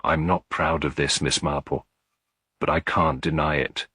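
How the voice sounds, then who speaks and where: A man's voice: extremely low pitch, fairly even intonation, measured pace, sharp tone, breathy edge, no room sound, clean studio sound, heavily acted. A man voicing an unhappy younger woman in a detective story, speaking in a subdued, hesitant, confessional manner. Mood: subdued, confiding. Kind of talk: detective story character reading.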